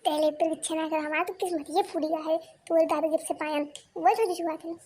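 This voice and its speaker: A fretful cartoon village wife with a piercingly high voice and an Awadhi accent. She complains and scolds in wailing exclamations, like a put-upon mother in a rustic comedy. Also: steady tone, fairly quick, a little mellow. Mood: angry scolding.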